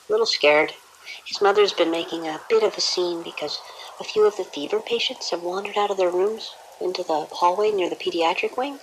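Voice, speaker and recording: An actress playing a dutiful, uneasy nurse in an audio drama, reporting politely and hesitantly. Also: female voice, fairly low pitch, slight background noise, lightly acted, low-quality recording.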